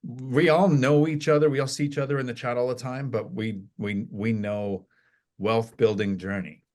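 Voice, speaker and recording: A man's voice: medium pitch, steady tone, normal speed, darkish tone. Rambling retired man chatting. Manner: rambling, with frequent restarts. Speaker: podcast guest, retired real estate investor. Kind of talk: casual podcast chat.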